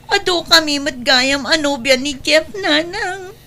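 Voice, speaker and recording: A woman acting a jilted young Ilocano daughter in a radio-style comedy drama. Her voice is high and creaky, with a sharp edge. She pours out her grievances in a tearful, whining way. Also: measured pace, exaggerated voice. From Philippines. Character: jilted, pregnant young daughter, whiny and aggrieved.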